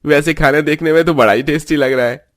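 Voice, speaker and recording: A man's voice: fairly high pitch, steady tone, fast, no room sound, clean studio sound, voice pushed up, lightly acted. A man voicing a cheerful, teasing young brother-in-law in a cartoon, playful and exclamatory. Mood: amused, admiring.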